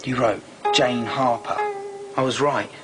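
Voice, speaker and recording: A man's voice: medium pitch, steady tone, unhurried, over loud music, close to an everyday voice. An actor playing an insistent, desperate young man searching for a girl in a screen drama. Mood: insistent, rising desperation.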